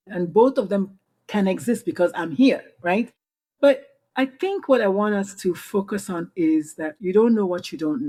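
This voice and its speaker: A woman's voice: mid-range voice, mellow. A middle-aged Nigerian woman physician speaking as a podcast guest, telling stories earnestly and animatedly, often restarting her sentences. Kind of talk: conversational interview.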